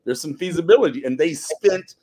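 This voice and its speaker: A man's voice: fairly high pitch, lively intonation, brisk, mellow, slightly rough. A man appearing as a podcast guest on a video call, giving casual commentary and opinions.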